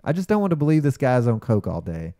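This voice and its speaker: A man's voice: medium pitch, very animated, fast, mellow, no echo. A man co-hosting a casual podcast with dry, wry remarks. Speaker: podcast co-host. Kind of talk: casual podcast talk, partly reading a listener email aloud.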